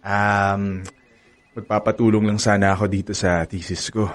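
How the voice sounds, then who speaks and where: A man's voice: lowish voice, flat delivery, normal speed, close to an everyday voice. A man playing a cocky young campus heartthrob. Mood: playful. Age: young adult. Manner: cocky, teasing, playful. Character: smug handsome young college heartthrob. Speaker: narrator and lead character. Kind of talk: radio drama acting.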